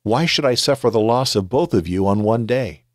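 A man's voice: mid-range voice, lively intonation, moderate pace, no room sound, studio quality, natural voice. A man reading aloud the words of a worried, protective mother in a scripture reading. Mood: urgent.

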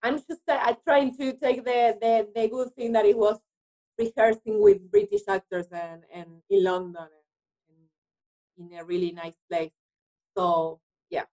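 A woman's voice: mid-range voice, steady tone, measured pace, darkish tone, poor audio. A woman from Argentina, a native Spanish speaker with an Argentinian accent, talking conversationally as an interview guest about her work as an actress.

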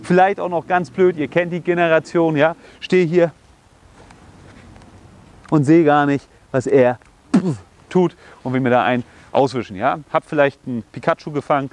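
A man in his thirties, a little hoarse from a cold, talks an outdoor self-defense lesson through with plenty of energy. His voice sits a touch high, and he throws in bursts like "boom" and "bam" as he demonstrates. It is picked up on the camera from a few steps away in an open park. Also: normal speed. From Germany. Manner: energetic, explains with sound words like boom and bam. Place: outdoors on a grassy park slope.

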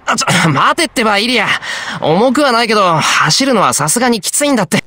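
A man's voice: high-pitched, sing-song, fast talker, pushed and bright, heavily acted. A man voicing an earnest, kind, plain-spoken teenage boy in a Japanese visual novel. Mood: casual, flustered. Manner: sincere, earnest.

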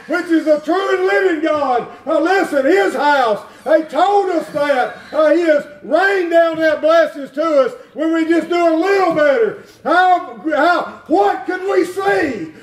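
A middle-aged man preaching a folksy revival sermon in a church, climbing into shouts. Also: extremely high pitch, distant mic. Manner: folksy, plain-spoken, rises to shouting. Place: wood-paneled church sanctuary. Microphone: far room camera. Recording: echoey church heard from afar.

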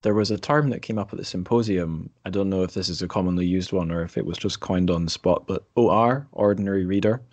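A man hosting a conversational interview, speaking in a measured way and pausing to form his thoughts. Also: low-pitched, darkish tone, no echo, lo-fi sound. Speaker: podcast host, interviewer. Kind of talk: conversational book discussion.

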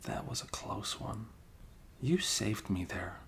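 Low gravelly guy whispering close to the mic, soft-spoken best friend asmr vibe. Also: expressive, slow pace. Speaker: ASMR roleplay creator. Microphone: close microphone.

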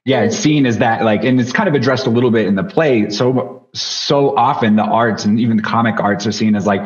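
Man, thirties, interview host, casual talk, mid pitch, slightly dull recording. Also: fairly quick. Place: small home studio, indoors. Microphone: desk microphone on boom arm, headphones. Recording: slightly dull video-call audio.

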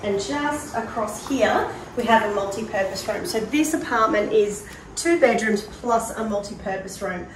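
A woman's voice: medium pitch, moderate pace. A middle-aged Australian woman giving a casual, conversational and enthusiastic walk-through tour as a presenter. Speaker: presenter of a prize home tour. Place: walking through hard-surfaced apartment rooms. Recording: roomy echo, distant mic, background noise.

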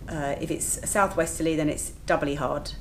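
A woman's voice: low-pitched, fairly even intonation, normal speed, a little hiss or hum, low-quality recording. A woman in her thirties talking casually to the camera in her home kitchen, matter-of-fact and chatty. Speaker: vlogger, lifeguard and working mother.

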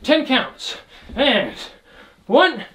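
A man's voice: very high voice, very slow, rough recording. A young man teaching a kickboxing workout, calling out combinations while he demonstrates the moves in a small indoor room. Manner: calls out combos while demonstrating, energetic. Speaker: kickboxing instructor. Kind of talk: workout instruction. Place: indoor room with trophy shelves.

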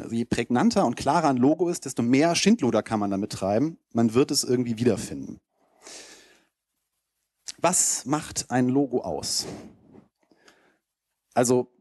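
A man's voice: medium pitch, a little mellow, slightly rough, no room sound. Casual man giving a dry-sounding talk. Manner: casual, loose, rambling delivery. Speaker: conference keynote speaker. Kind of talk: informal slide presentation to an audience. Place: lecture hall stage with audience. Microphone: handheld microphone held close.

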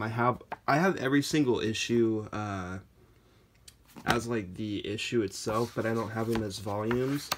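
Hoarse young man chatting while unboxing. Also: on the low side. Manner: rambling, many fillers, off-hand. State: hoarse from a sore throat. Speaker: Lego hobby vlogger. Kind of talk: casual unboxing chat. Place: indoors, room with wooden floor. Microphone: phone camera held over the floor. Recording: noticeable background noise, package crinkling.

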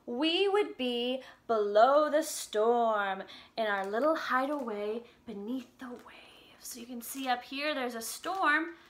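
Young woman, children's music teacher, high-pitched, unhurried, expressive read-aloud delivery, exaggerated emphasis. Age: young adult. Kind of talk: children's lesson and picture book read-aloud. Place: home room with an upright piano.

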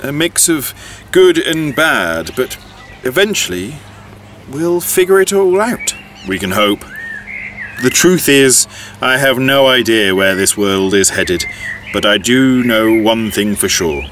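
A man's voice: medium pitch, lively intonation, slow pace, background noise. An actor playing a hesitant, earnest widowed grandfather in an audio drama. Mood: hopeful, sincere. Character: hesitant, wistful widowed grandfather on a first date.

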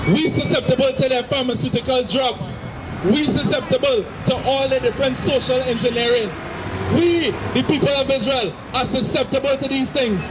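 Man, Trinidadian accent, street preacher, forceful, repeats himself, noisy traffic around. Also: very high voice. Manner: forceful, repetitive, shouting at times. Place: city street outdoors, crowd around. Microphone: handheld mic through a loudspeaker.

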